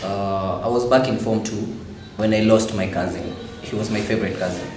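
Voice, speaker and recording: A man's voice: fairly low pitch, fairly even intonation, moderate pace. A young man, a student talking candidly in an interview. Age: young adult. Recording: roomy, clear background noise.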